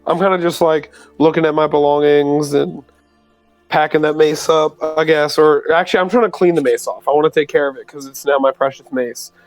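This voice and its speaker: A man's voice: fairly high pitch, steady tone, a little fast, no echo, soft background music, poor audio. A man playing a tabletop roleplaying game, talking casually and a bit tentatively. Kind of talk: casual in-character roleplay chat.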